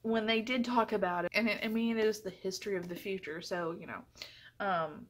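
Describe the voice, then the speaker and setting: A woman's voice: medium pitch, fairly quick. A woman giving a casual book review to viewers in an earnest, chatty, emphatic way.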